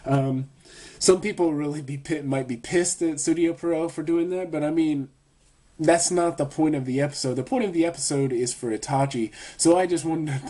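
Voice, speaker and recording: A man's voice: mid-range voice, warm tone. A man reviewing an anime episode in a casual video, talking with many fillers and restarts while a bit sick.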